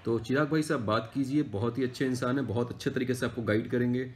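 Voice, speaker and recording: A man's voice: medium pitch, steady tone, quick pace, a little hiss or hum. A young man chatting casually to viewers as a vlogger. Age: young adult. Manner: chatty, addresses viewers as friends. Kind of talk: casual travel and shopping vlog. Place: street, shop and home.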